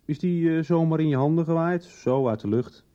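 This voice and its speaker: An actor playing a stern, threatening police detective who questions sarcastically in a radio drama. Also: male voice, a little high, normal speed, warm tone, close to an everyday voice. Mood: sarcastic, pressing. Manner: pressing, sarcastic interrogator. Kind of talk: 1990s radio drama acting. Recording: dull lo-fi 1990s radio drama.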